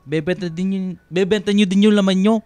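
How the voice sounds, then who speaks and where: Man acting a high-voiced lecherous old Filipino tricycle driver. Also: steady tone, a little fast, darkish tone, no room sound, lightly acted. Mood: angry, insulting. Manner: cocky, pushy flirting that turns nasty. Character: lecherous, boastful old tricycle driver, insulting when spurned. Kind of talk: Filipino radio drama acting.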